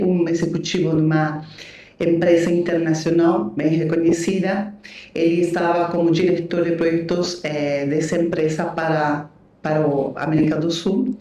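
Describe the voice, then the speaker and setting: A woman's voice: low voice, heavy reverb, distant mic. A woman from Bolivia who speaks Portuguese with a Spanish accent, telling her story as a podcast guest with many "né" and "eh" fillers. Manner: warm storytelling, frequent "né" and "eh" fillers. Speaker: guest, leadership mentor. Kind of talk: podcast interview.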